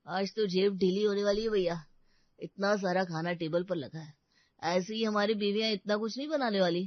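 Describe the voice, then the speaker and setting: A woman's voice: mid-range voice, normal speed, no echo, highs cut off, lightly acted. A woman voicing a teasing, jovial man in a family story, in a jokey and hearty manner.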